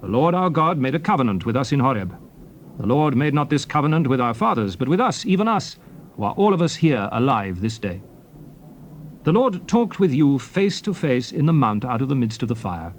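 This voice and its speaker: An actor playing Moses as a grave, commanding old prophet in a dramatized scripture reading, declaiming with emphatic, swelling phrases. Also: male voice, mid-range voice, very animated, fairly quick. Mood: grave, urgent. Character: Moses, solemn commanding old prophet exhorting his people. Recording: faint background hiss on old cassette tape.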